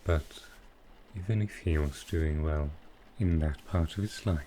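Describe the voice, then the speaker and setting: A man playing a sly, dryly amused male supervillain in a soft roleplay ASMR style, with halting, understated delivery. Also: a bass voice, a little hiss or hum. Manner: unhurried, halting delivery with pauses, dry understated humour.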